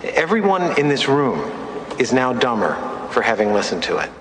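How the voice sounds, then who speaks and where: A man's voice: mid-range voice, big pitch swings, moderate pace, fairly bright tone, slight background noise. A man speaking sternly and scornfully. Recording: roomy hall with faint ambience.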